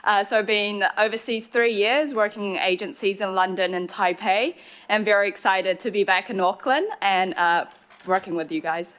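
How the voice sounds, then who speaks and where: A woman gives a short introduction of herself to a meeting. She talks in an easy, casual way, with small pauses and fillers. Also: mid-range voice, fairly even intonation, fairly bright tone. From New Zealand. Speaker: council communications staff. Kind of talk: brief self-introduction.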